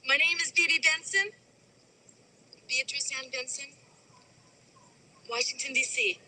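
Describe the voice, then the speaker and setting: A woman's voice: high-pitched, a little slow, sharp tone, full character voice, poor audio. An actress playing a bubbly female dancer in her early twenties, breathless and giggly. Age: early 20s.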